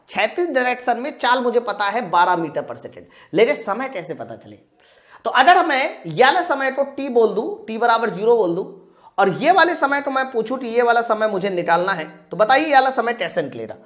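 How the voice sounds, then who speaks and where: A woman's voice: on the high side, sing-song, moderate pace, a bit bright. A woman teaching an exam-prep lesson step by step, asking questions and answering them herself while addressing students informally. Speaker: physics teacher for exam preparation. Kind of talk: live lesson, working through practice questions step by step.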